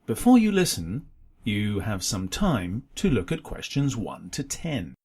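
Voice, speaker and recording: A man's voice: lowish voice, huge pitch swings, unhurried, darkish tone, breathy edge. A man reading scripted exam instructions as a test announcer, in a formal, instructional manner.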